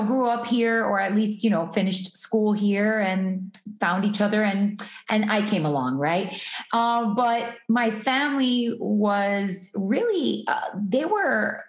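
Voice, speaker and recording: A woman from Miami talks unhurriedly as a guest, laughing easily and circling back with ums and restarts. Her voice sits mid-range and sounds roomy, with noticeable echo around it. The talk is casual and personal. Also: mid-range voice. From Miami, USA. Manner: chatty, laughs often, many ums and restarts. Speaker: guest, artist and entrepreneur. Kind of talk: casual interview conversation.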